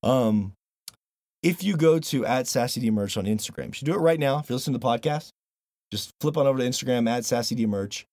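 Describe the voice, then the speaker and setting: A man's voice: medium pitch, fast, no room sound, clean studio sound. A man hosting a casual podcast, chatting loosely with some swearing and reading a sponsor plug. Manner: swearing, animated, reads sponsor plugs. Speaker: podcast host.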